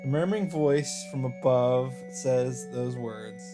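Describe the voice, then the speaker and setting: A man's voice: mid-range voice, takes it slowly. A man hosting a let's play, reading visual novel narration aloud. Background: game music.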